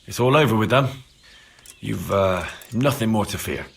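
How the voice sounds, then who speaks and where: An actor playing an earnest, plucky young peasant turned soldier in a video game drama, plain-spoken and reassuring. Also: male voice, fairly low pitch, lively intonation, normal speed, gravelly, breathy edge, full character voice. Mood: calm, helpful.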